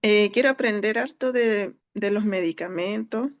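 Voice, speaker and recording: A woman's voice: medium pitch, normal speed. A woman introducing herself plainly and modestly as a student in an online class. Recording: dull lo-fi audio.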